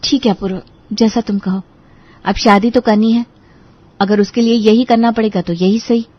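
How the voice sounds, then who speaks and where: A woman's voice: fairly high pitch, steady tone, normal speed, lo-fi sound, close to an everyday voice. A woman playing an anxious, earnest young bride-to-be in a Hindi audio story drama. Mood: hesitant, questioning.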